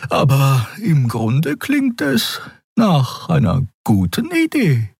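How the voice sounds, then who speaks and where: A man voicing a polite female beaked creature in a fantasy audiobook, speaking in a measured, deliberate and courteous way. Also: mid-range voice, wildly swooping pitch, creaky, darkish tone, slightly rough, dry recording, clean studio sound, pitched above the performer's own voice, full character voice. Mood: regretful, measured. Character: polite, measured beaked bird-like alien woman.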